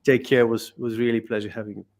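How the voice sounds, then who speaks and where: A man's voice: mid-range voice, flat delivery, rapid-fire, a little mellow, a little hiss or hum. A man hosting an interview show, asking questions and thanking his guest in a polite, encouraging way. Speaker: podcast host.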